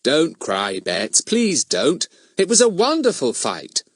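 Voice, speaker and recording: A man's voice: fairly high pitch, wildly swooping pitch, takes it slowly, dry recording, studio quality, pitched above the performer's own voice, exaggerated voice. A man voicing a plucky, dignified schoolboy with an earnest, articulate manner, in a children's storybook. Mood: reassuring. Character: plucky, dignified, well-spoken schoolboy leader.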